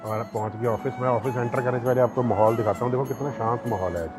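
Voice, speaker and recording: A man's voice: medium pitch, fairly even intonation, quick pace. A man with a sore throat and a cold chatting casually to his viewers as he narrates his day. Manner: chatty, addresses viewers directly. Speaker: vlogger. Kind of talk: casual daily vlog narration. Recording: music playing loudly behind.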